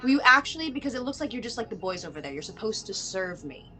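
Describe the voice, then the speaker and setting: A woman's voice: fairly high pitch, very animated, fast, faint music behind. A woman playing a frustrated, worried mother who bluntly demands help from the police, in a film table read. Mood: frustrated, insistent. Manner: blunt, exasperated, sharp-tongued.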